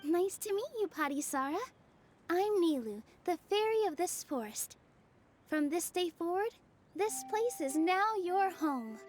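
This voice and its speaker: A woman voicing a gentle young forest fairy in a video game, soft and earnest, with music behind. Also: very high-pitched, sing-song, a little slow, a little hiss or hum, heavily acted. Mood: tender, solemn.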